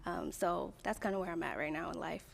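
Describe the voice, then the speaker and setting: Gravelly-voiced woman, thoughtful. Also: fairly low pitch, lively intonation, brisk, big-room sound. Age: 30s. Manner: thoughtful, with hesitant ums. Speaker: dating game contestant.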